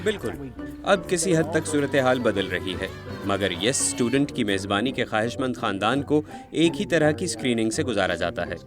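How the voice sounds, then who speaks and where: Male voice-over for a translated interview, mid-pitched, steady and explanatory, faint music behind. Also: brisk.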